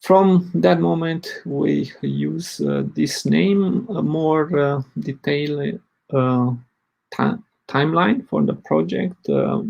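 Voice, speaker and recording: Brief: man in his forties from Romania, husky and halting, presenting at a tech conference. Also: on the high side, expressive, darkish tone, muffled recording. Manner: hesitant, many fillers. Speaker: open source project lead presenting. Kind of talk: conference talk.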